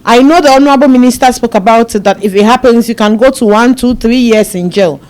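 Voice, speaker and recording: A woman's voice: fairly high pitch, fast talker, a little mellow. A woman, a panel guest speaking earnestly and pleading with repetition. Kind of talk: panel discussion.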